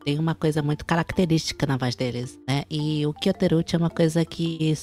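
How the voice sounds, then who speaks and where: A woman's voice: sits low, steady tone, brisk, dark tone, soft background music. A woman with a Brazilian accent hosting a casual chat. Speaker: host of an online chat show.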